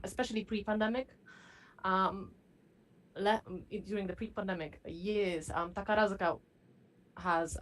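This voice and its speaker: A woman giving her views as a guest in an academic panel discussion, halting often as she goes. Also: on the low side, poor audio. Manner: halting, frequent hesitations.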